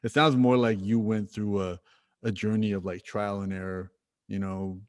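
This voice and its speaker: A man's voice: on the low side, steady tone, normal speed. A man hosting a podcast conversation, thinking aloud in a reflective way and leaning on lots of "you know" and "like". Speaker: podcast host. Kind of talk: conversational interview.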